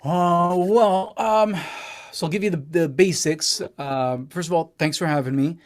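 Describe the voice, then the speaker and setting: A man from Israel with an American accent, a guest musician who talks casually and modestly, starting and restarting his sentences. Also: on the high side. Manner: relaxed, self-deprecating, halting with many "uh"s. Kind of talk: casual interview talk.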